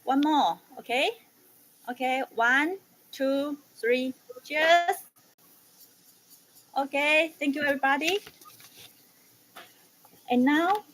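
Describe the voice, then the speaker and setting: Event announcer: woman, high pitch, slow measured delivery, light background noise. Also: poor audio. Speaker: event announcer introducing the host. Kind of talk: announcement to an online gathering.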